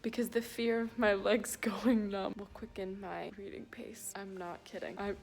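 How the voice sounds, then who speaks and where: A woman's voice: medium pitch, level tone, moderate pace, creaky. A tired, sleep-deprived woman vlogging casually to her viewers, informal and rambling with a self-mocking manner. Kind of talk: casual reading vlog, talking to viewers.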